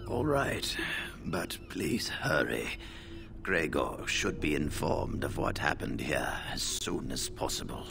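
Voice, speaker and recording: An actor voicing a weary old mage leader in a fantasy game, slow and formal, with a gravelly, breathy voice. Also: male voice, rumbling low, sing-song, a bit bright, soft background music, heavily acted. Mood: grave, grateful. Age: older.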